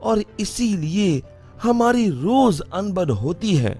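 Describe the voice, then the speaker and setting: An exasperated young son in a cartoon, put on by a man in a raised voice, complaining and stubborn. His voice is pitched well above the man's own and swings widely. Faint music runs behind. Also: big pitch swings, slow, mellow, husky, heavily acted. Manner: complaining, insistent. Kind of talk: cartoon voice acting.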